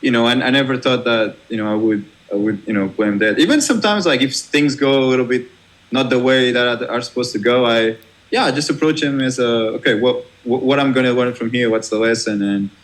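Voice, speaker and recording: A man's voice: mid-range voice, rough recording. A young man from Portugal with a Portuguese accent talks as an interview guest. He is a DJ who restarts and repeats his words often, laughs easily, and keeps a casual tone. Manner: halting, many restarts and fillers, laughs easily. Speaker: guest DJ and music producer.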